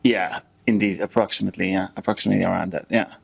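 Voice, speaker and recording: A man's voice: deep voice, fairly even intonation, fast talker, vocal fry, slightly rough. Chatty Dutch-accented man co-hosting a podcast. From Netherlands. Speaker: podcast co-host. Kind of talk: casual chat.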